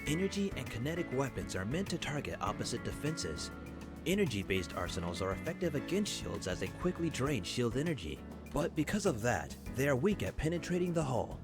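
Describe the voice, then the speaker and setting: A man playing a formal, instructive professor in a video game, lecturing in a measured, articulate way. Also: medium pitch, lightly acted. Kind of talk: scripted game voice acting, classroom lecture. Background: game music.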